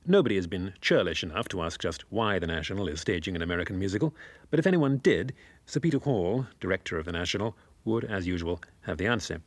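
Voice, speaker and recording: A man giving a scripted archive broadcast report in a lively, wry manner. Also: sits low, quick pace, dry recording.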